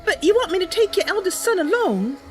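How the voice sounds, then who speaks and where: High, animated, chatty woman streamer. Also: fairly quick, faint music behind. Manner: bubbly, giggly, chatty. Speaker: livestreamer, roleplaying gamer. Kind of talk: casual live stream chat with improvised roleplay.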